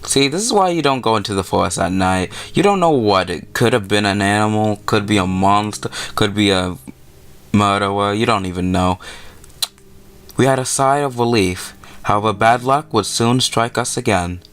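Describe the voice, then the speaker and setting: A man's voice: fairly low pitch, moderate pace, a bit bright, clear, resonant tone. A man hosting a let's play, reading a visual novel aloud with casual commentary and sometimes stumbling over his words.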